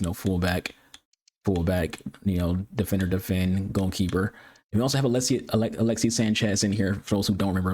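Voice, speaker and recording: Male gaming creator, lowish voice, rapid cluttered delivery, frequent restarts, casual review talk. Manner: fast, cluttered speech with repeated words; excitable high-pitched greeting. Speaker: gaming YouTuber. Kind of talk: casual game review commentary.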